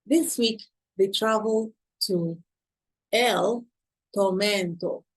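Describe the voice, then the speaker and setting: Husky woman reading to children, unhurried. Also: medium pitch, rough recording. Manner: expressive, pausing to ask listeners questions. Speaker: children's storyteller. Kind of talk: read-aloud picture book narration for children.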